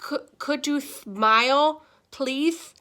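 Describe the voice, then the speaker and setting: A woman's voice: sits high, drawn-out words, voice pushed up, full character voice. A woman voicing a distraught, indignant old grandfather with toothless, mumbly speech in a dramatic storybook read-aloud. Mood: suspicious, angry. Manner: loud, dramatic, suspicious. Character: distraught old grandfather missing his false teeth, indignant.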